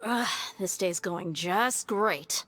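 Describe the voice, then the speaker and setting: A woman's voice: mid-range voice, slow pace, fairly bright tone, dry recording. A woman playing a tough, sardonic young mercenary in a game, with a dry, casual, blunt delivery. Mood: weary. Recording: game music behind voice.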